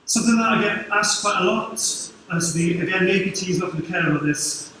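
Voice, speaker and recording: A middle-aged man from Wales with a Welsh accent, giving a quick, informal conference talk with dry humour from a lectern. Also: high-pitched, pushed and bright, a little hiss or hum. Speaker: conference speaker. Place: large dark conference hall. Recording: very echoey hall, distant mic.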